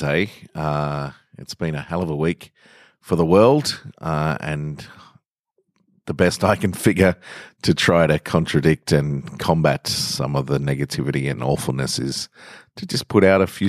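A man's voice: very deep voice, dry recording, studio quality. A man hosting a podcast, talking informally and reading short literary stories aloud as narrator.

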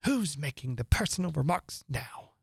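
Give me a woman playing a fussy, gloomy male hatter with a lively, sing-song voice. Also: deep voice, vocal fry, breathy edge, no room sound, clean studio sound, heavily acted. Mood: whimsical. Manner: theatrical, rapid swings from chatter to gloom. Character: excitable, rambling, mournful male hatter.

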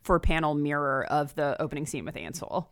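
A woman's voice: sits low, animated, fairly quick, no echo, studio quality. A woman guesting on a podcast, chatting casually and with enthusiasm about books. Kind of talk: casual book discussion chat. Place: remote call.

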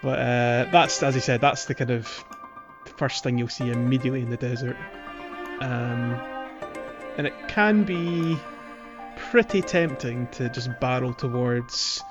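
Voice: medium pitch, a little slow, over music. A podcast co-host chatting casually about a video game, on a slightly dull microphone.

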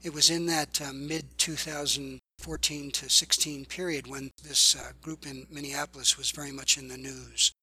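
A man's voice: mid-range voice, flat delivery, normal speed, few pauses, pushed and bright, poor audio. An older man, an author and journalism professor, answering podcast interview questions thoughtfully, often repeating words as he searches for the phrase.

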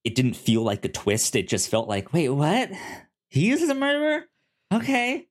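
A man chatting casually as a podcast co-host, rambling and often doubling back on his words as he gives his review. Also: a little high. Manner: halting, rambling, repeats words.

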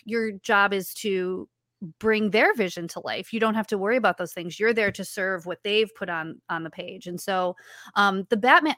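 A woman's voice: medium pitch, a bit bright, no room sound, studio quality. A woman with a childlike voice, chatting as a guest in an interview about her writing and voice work, often restarting her sentences. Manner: chatty, with frequent restarts and fillers. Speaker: guest, writer and voice actor. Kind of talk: casual interview chat.

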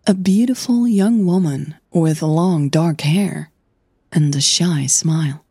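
A woman narrating scripted fiction in a measured, intimate storytelling manner. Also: sits low, dark tone, husky, no echo, studio quality. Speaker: audio drama narrator.

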